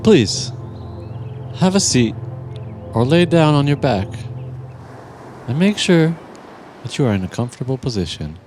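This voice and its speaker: A man narrating a guided meditation in a gentle, soothing, deliberate manner with long pauses. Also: mid-range voice, sing-song. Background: music.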